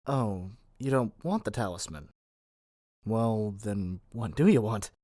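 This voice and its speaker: A man's voice: lowish voice, lively intonation, slow, mellow, dry recording, studio quality. A man playing a lovesick young witch shopkeeper in a scripted ASMR roleplay, theatrical and coaxing in a playful way. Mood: panicked pleading, then tender, coaxing. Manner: theatrical, coaxing, playful, stammers when pleading.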